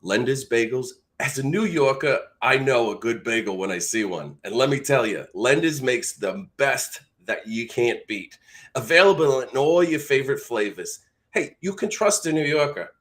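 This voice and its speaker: A man voicing a commercial pitchman with a New York accent. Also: lively intonation, normal speed, fairly bright tone, lightly acted. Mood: confident. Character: male New Yorker pitching products in commercials. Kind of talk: commercial voice-over read.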